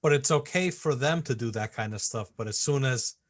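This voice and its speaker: A man's voice: mid-range voice, brisk, slight background noise. A man with an ordinary mid-range voice chats on a live stream. He argues his points with an incredulous edge. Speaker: co-host of a live vape show. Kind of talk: casual live-stream chat.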